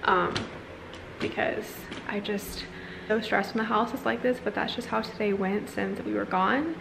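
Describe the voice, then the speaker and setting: A woman's voice: mid-range voice, unhurried, gravelly, noisy background. A woman in her thirties chatting casually, as a vlogger does while showing viewers around at home. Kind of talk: casual chatty vlog talk. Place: indoors in a house living area.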